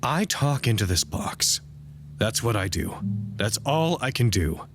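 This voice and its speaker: An actor playing an earnest, weary radio broadcaster at a trapped research site in an audio drama, speaking formally and wistfully. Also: male voice, on the low side, very animated, measured pace, over music. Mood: defeated, stubborn.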